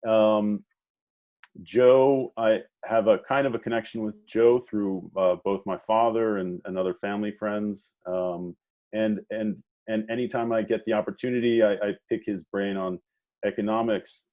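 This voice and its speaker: A man with a lowish voice hosts an online conversation, feeling his way through each sentence with plenty of ums and restarts. His audio comes through dull and lo-fi, like a video call. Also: fairly even intonation. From Washington, D.C. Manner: halting, many fillers and restarts. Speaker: moderator. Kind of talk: hesitant conversational introduction.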